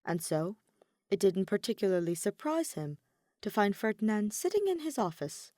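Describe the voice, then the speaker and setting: Expressive woman narrating a story. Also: mid-range voice, normal speed, no echo, studio quality. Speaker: audiobook narrator. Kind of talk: scripted narration of fan fiction.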